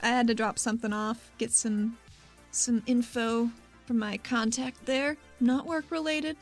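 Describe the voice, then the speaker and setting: High-voiced woman chatting as a tabletop player. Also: steady tone, unhurried. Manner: informal, jokey. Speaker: player in a tabletop role-playing game. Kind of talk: casual game chat. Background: music.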